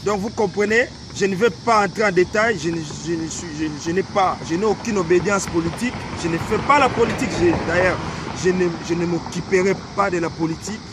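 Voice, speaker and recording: A man's voice: high voice, fairly quick. Earnest Congolese man by a busy road. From Democratic Republic of the Congo. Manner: earnest, hesitant, many fillers and restarts. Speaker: vlogger giving political commentary. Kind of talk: casual outdoor talk to camera. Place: outdoors by a small lake in a city. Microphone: camera held by a cameraman. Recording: outdoor video with loud traffic noise.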